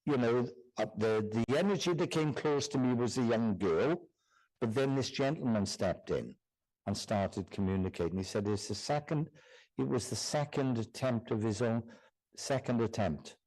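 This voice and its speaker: A man's voice: mid-range voice, lively intonation, moderate pace, darkish tone, rough recording. A man working as a guest medium in a church service, speaking to audience members with frequent repetitions and "do you understand?" check-ins.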